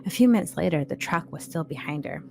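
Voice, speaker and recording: A woman's voice: deep voice, animated, quick pace, vocal fry, mellow. A woman co-hosting a read-along, telling a scary short story and addressing listeners informally and directly. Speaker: podcast co-host and story reader.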